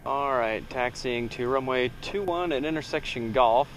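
A man's voice: mid-range voice, moderate pace. A young man flying a small plane, casually talking viewers through engine start and taxi and reading back radio calls on a headset. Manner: relaxed, talks viewers through each step. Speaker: pilot vlogger. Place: small aircraft cockpit. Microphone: aviation headset. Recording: engine noise behind.

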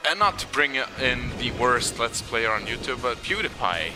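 A man's voice: mid-range voice, big pitch swings, moderate pace, music in the background, rough recording. A young man whose first language is Swedish, chatting casually over a video game in English, rambling with frequent restarts. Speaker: co-commentator. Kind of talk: casual gaming chat.

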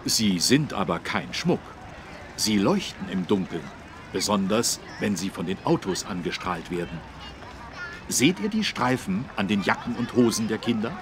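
Low-voiced patient policeman, street noise. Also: sing-song, a little slow. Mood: patient, inviting. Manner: clear, patient explaining to children. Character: friendly adult male policeman explaining road safety. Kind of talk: scripted children's game dialogue. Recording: street traffic noise behind. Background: city street traffic.

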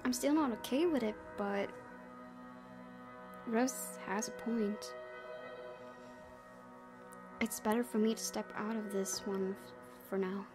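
A woman's voice: medium pitch, takes it slowly, long pauses. A woman reading a visual novel's story aloud as a let's play narration, expressively, with her own asides. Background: loud piano game music.

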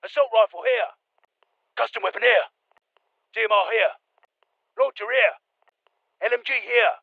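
An actor playing a tough, focused British special forces soldier in a military video game, giving clipped, commanding combat callouts. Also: male voice, sits high, a little slow, gravelly, no room sound, narrow, tinny audio, exaggerated voice. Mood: businesslike. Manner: clipped, commanding, terse radio-style callouts.

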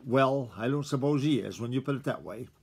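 A man's voice: medium pitch, fairly quick, vocal fry, darkish tone, breathy edge. A man reading a sulky young fox. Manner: snappish, grudging. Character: young male fox, sulky and hot-tempered.

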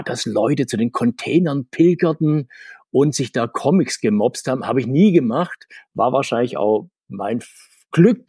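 Husky middle-aged guy rambling through old work stories, keeps correcting himself. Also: medium pitch, darkish tone, dry recording, studio quality. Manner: rambling, self-correcting, reflective. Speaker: guest, former comics publishing manager. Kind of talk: casual interview.

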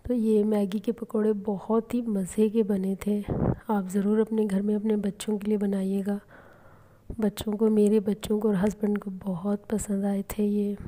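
A middle-aged woman calmly narrating her home cooking and daily routine vlog. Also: mid-range voice, moderate pace, slight background noise. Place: home kitchen and rooms.

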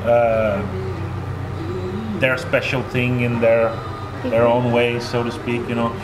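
A middle-aged man with a Norwegian accent talks through interview answers in a halting, easygoing way, restarting phrases and filling gaps with uh and you know. He sits at a table mic in a small room, and a steady hum of noise runs under him. His pitch sits at an ordinary male level. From Norway. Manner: halting, repeats words, many fillers. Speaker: band member. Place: small room at a festival, indoors. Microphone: table mic in front.

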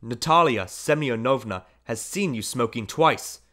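A middle-aged father, a prosecutor by trade, scolds his son in a measured, firm voice, as a male reader plays him. He leans on his words and sounds louder than the surrounding narration. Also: mid-range voice, animated. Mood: scolding.

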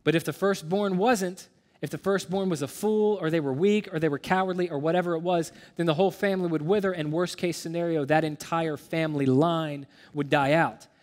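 A man's voice: fairly high pitch, steady tone, a little fast, darkish tone. A man in his thirties giving a conversational teaching sermon as a pastor, earnest and explanatory. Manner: earnest, explanatory, with rhetorical questions. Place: large church auditorium stage, indoors. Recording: reverberant hall sound.

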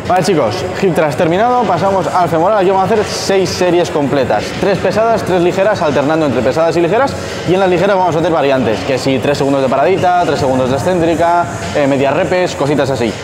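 A young man, giving energetic, joking gym vlog commentary while a friend films him in a large indoor gym. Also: fairly high pitch, quick pace, poor audio. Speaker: fitness vlogger and coach. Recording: loud music over the voice. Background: loud gym music.

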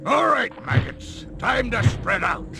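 An actor voicing a gruff, bullying pirate captain creature in a video game, barking orders in pirate slang. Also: male voice, very high voice, expressive, a little slow, music in the background, heavily acted. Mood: commanding.

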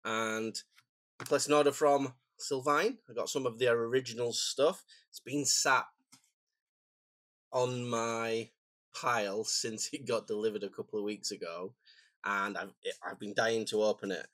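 A man, croaky and phlegmy from a cold, chatting casually and rambling through a stationery unboxing at his desk. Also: mid-range voice, steady tone, measured pace, fairly bright tone, no room sound. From England. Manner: rambling, self-deprecating, chatty asides. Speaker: stationery vlogger. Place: home desk, indoors.

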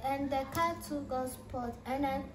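A woman with a high voice chatters eagerly, tumbling over other speakers. The sound is roomy and echoing, with several people talking around her. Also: normal speed, over a noisy crowd. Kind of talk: excited family chatter.

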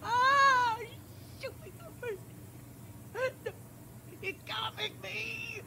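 A woman's voice: very high voice, takes it slowly, vocal fry, exaggerated voice. Shrieking, agonised distraught woman. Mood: agonised, frantic. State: crying in pain. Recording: distant, noisy room sound.

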